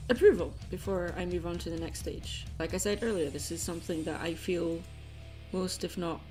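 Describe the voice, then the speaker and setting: A woman's voice: on the low side, moderate pace, mellow. A woman hosting an art livestream in casual, jokey, informal chat. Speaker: livestream host and artist taking commissions. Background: guitar music playlist.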